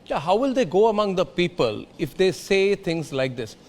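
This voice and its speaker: High-pitched, combative Indian male debate host. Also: sing-song, normal speed. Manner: combative, insistent, repetitive, rhetorical questions. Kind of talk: heated political debate and commentary.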